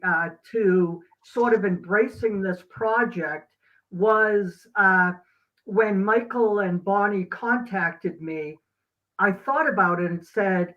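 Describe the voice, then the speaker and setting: Slow, halting man on dull audio. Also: high voice. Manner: earnest, halting, emphatic pauses. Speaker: guest, doctor and teacher. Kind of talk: reflective interview talk.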